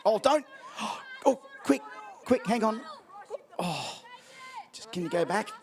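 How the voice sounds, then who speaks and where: A man with an Australian accent works a crowd as MC at an outdoor event. His voice sits fairly high and his talk is playful and teasing, with a faint crowd murmuring behind him. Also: big pitch swings, takes it slowly. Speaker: event MC giving out prizes. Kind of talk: live crowd banter.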